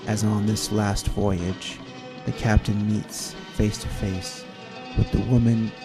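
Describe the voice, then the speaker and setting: A man narrating an old-time radio adventure in a theatrical, grandiose manner with dramatic pauses. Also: lowish voice. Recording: narration over guitar music. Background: easy listening guitar music.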